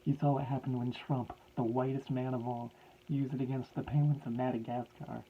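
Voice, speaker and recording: A man's voice: mid-range voice, level tone, brisk, muffled recording, a little hiss or hum, close to an everyday voice. A man voicing a grieving middle-aged male scientist who pleads in a raised, strained voice. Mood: urgent.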